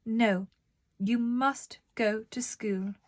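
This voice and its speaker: A firm, brisk-tempered storybook aunt, voiced by a woman reader. Her voice is high and her words come slowly, as clear instructions to a child. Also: fairly even intonation.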